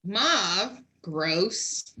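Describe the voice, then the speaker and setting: A woman's voice: medium pitch, expressive, extremely slow, sharp tone. A woman giving sassy comedic commentary. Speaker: comic commentator.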